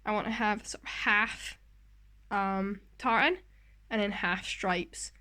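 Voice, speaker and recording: A woman's voice: medium pitch, slow pace, sharp tone. Scottish woman hosting a craft vlog. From Scotland. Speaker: sewing vlog host. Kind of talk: casual craft vlog chat and narration.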